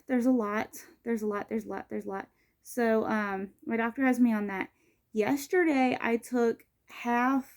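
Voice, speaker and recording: A woman's voice: fairly high pitch, darkish tone. A woman in her twenties talking casually in a personal vlog, rambling with lots of "like" and "um" and correcting herself as she goes. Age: 20s. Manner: rambling, heavy use of "like" and "um", frequent self-corrections. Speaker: vlogger.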